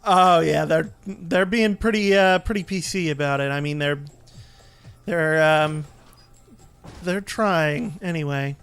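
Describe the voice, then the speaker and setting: A man's voice: fairly high pitch, slow pace, fairly bright tone, clear, resonant tone, faint music behind. A man giving casual gameplay commentary as a let's play host, easygoing and a bit hesitant as he explains.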